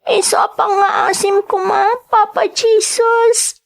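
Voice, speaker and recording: A woman's voice: very high-pitched, flat delivery, unhurried, gravelly, heavily acted. A woman playing a poor, hurt but determined young schoolgirl in an Ilocano drama, with a Filipino Ilocano accent and a plaintive, pleading delivery. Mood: tearful prayer. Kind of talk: Ilocano radio-style drama acting.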